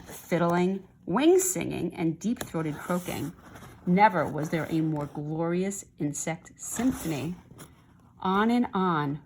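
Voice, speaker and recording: A woman's voice: fairly low pitch, darkish tone, a little hiss or hum, low-quality recording. A woman reading a children's story aloud expressively, with chatty asides to young listeners. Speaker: storybook reader.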